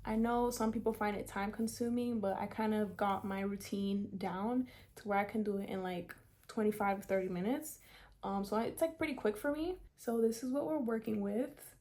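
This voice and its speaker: A woman's voice: medium pitch, steady tone, moderate pace, a little mellow. Chatty young woman vlogger. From South Florida. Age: 20s. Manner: chatty, upbeat, lots of "like" and "kinda". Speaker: beauty vlogger. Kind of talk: casual hair tutorial vlog.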